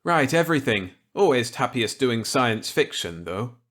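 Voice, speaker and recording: A man's voice: mid-range voice, big pitch swings, a little slow, fairly bright tone, voice pushed up. A man voicing a genial, wry, unrepentant scientist in a storybook reading, with clipped, breezy delivery.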